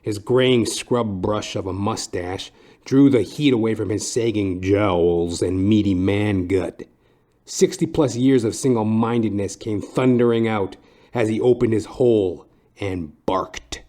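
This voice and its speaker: A man playing a sardonic, world-weary private detective, narrating deadpan in hard-boiled style for an audio dramedy. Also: fairly low pitch, a little slow, lightly acted. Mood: scornful narration. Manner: wry, deadpan wisecracking. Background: music behind the voice.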